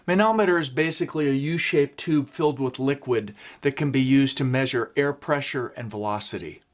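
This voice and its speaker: A man in his fifties, a woodworking instructor, giving a steady scripted explanation in a small home workshop. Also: mid-range voice, fairly quick. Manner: steady, explanatory, gestures while talking.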